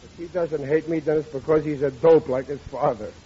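A man's voice: a little high, steady tone, fairly quick, close to an everyday voice. Man playing a self-pitying dopey dad, old radio. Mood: gloomy self-pity. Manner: whining, woeful, ungrammatical. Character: self-pitying, dopey, melodramatic middle-aged working-class father. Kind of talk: 1940s radio comedy acting. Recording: dull noisy old radio recording.

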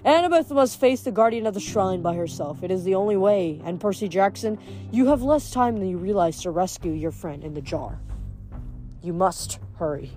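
A woman's voice: medium pitch, lively intonation, normal speed, warm tone, faint music behind, close to an everyday voice. Casting: a woman reader as an ancient, manly god of a river, polite and wry, formal phrasing, storybook style. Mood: grave, formal.

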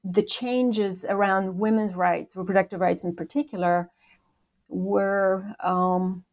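A woman in her sixties from Poland, a professor answering interview questions thoughtfully, with many pauses, fillers and restarts. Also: medium pitch, fairly even intonation, a little mellow. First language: Polish. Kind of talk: academic interview.

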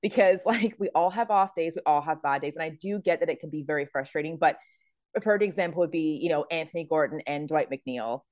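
A woman hosting a casual sports podcast, her voice hoarse and raspy from screaming, chatty with many fillers and laughs. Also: deep voice, fairly quick, a little mellow, no room sound, clean studio sound. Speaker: podcast host. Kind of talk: casual chat.

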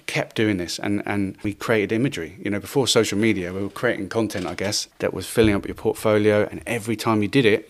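Welsh-born man telling stories in a low voice. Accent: English, lost Welsh accent. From Wales. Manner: swearing, laughing, acts out quotes. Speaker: guest. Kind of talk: casual interview storytelling.

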